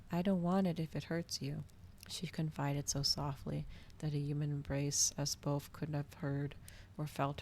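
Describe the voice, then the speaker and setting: Low, creaky woman reading ASMR fiction. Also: a little mellow, a little hiss or hum. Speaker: streamer reading a novel aloud. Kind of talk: cozy ASMR storybook narration.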